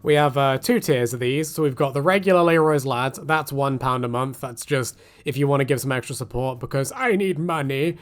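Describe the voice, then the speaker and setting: A man's voice: mid-range voice, brisk, hardly any pauses. A man hosting a casual chat in a rambling, joking way with crude humour. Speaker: host.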